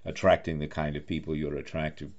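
A man hosting a conversational interview in an effusive, halting manner, often repeating his phrases. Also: extremely low pitch, level tone, darkish tone. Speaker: interviewer, host; actor and director. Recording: dull lo-fi online-call sound.

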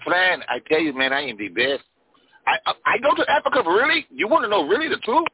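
A man's voice: high-pitched, animated, fast talker, husky, dry recording, pitched above the performer's own voice. A man putting on a Mexican accent as a boastful caller in disguise who keeps saying "my friend.". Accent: put-on Mexican. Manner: repeats "my friend", playful bragging. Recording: thin phone line.

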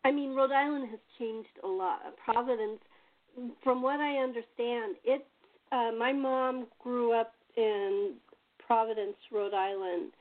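A woman's voice: a little high, fairly even intonation, unhurried. An American woman from Los Angeles hosting a casual chat in a rambling, repetitive way over tinny phone-like audio. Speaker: host and playwright.

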